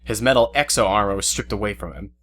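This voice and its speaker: A man's voice: on the low side, fast, a bit bright. A man reading scripted story narration for an audio drama. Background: music, guitar.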